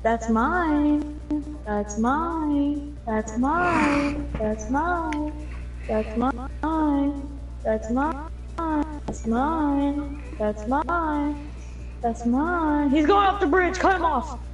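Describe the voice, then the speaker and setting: A woman streaming a racing game with friends, shouting, laughing and teasing her teammates. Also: high voice, drawn-out words, highs cut off. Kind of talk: excited gameplay chat with teammates. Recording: game music playing behind.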